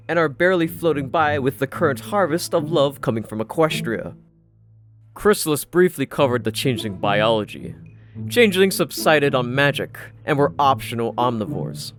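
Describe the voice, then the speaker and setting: A man narrating a first-person story from a script in a dry, sardonic manner. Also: medium pitch. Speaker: audiobook narrator.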